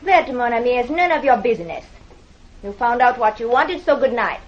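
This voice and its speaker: Snooty french temptress, high voice, formal and bossy, muffled old radio drama. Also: female voice, lively intonation, normal speed. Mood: cold, dismissive. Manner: imperious, stiffly formal phrasing. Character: haughty, fiery French femme fatale. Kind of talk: 1940s-50s radio drama acting. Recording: dull vintage radio recording.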